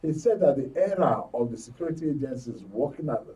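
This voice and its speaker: A Nigerian man hosts a current affairs panel. His tone is very mellow and dark, while his pitch moves in a lively way. He sounds set back from the microphone. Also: fast talker, recorded from a distance, low-quality recording. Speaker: radio and TV host.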